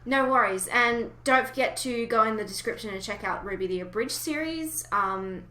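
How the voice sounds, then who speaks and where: A woman's voice: a little high, moderate pace, pushed and bright. A woman hosting a podcast in a casual, chatty style. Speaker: podcast host. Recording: roomy, echoey home recording.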